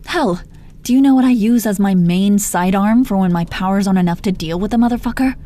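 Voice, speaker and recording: Supervillainess for audio drama, woman performing: smooth-dark tone, gloating and taunting, sweary, short on patience. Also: medium pitch, a little fast, a little hiss or hum, close to an everyday voice. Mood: exasperated lecturing. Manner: taunting, condescending pet names, heavy swearing. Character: gloating menacing female supervillain, sardonic and exasperated. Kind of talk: dramatic roleplay audio monologue.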